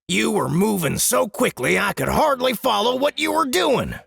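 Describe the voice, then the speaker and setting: A man playing a boastful, hearty dwarf smith in a fantasy audiobook, with a booming, gravelly voice and a smug manner. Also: big pitch swings, moderate pace, fairly bright tone, dry recording, clean studio sound, heavily acted. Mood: amazed, amused. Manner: smug, bragging. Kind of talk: dramatized fantasy audiobook acting.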